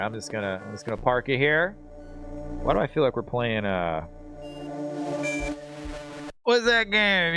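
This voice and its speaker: Animated man chatting over loud game music. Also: on the high side. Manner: slangy, rambling, joking, animated. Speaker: live streamer playing a video game. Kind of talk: casual gaming stream commentary with chat. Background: video game music.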